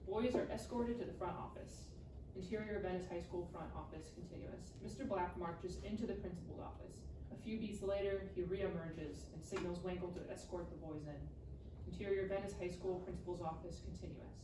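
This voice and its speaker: Low-voiced woman reading stage directions. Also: moderate pace. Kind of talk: scripted screenplay narration at a live table read. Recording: echoey room, distant mic, clear noise.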